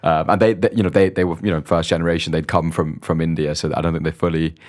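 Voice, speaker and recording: A man's voice: sits low, level tone, fast talker. A young man, a podcast guest from Dubai and London, talking casually and hesitantly with many restarts. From grew up mostly in Dubai, born in London. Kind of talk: casual interview chat.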